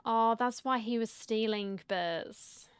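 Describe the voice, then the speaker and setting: A woman's voice: a little high, slow, clean tone, no room sound. A woman giving casual, reactive let's-play commentary, chatty and given to muttered asides. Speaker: game streamer. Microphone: close mic, dry. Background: faint game music.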